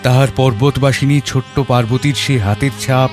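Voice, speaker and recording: Audio story lead: gentle Bengali gentleman and father played by a male actor, mid-pitched, heartfelt first-person narration. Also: steady tone, normal speed, over music, lightly acted. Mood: reflective, moved. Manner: reflective, heartfelt.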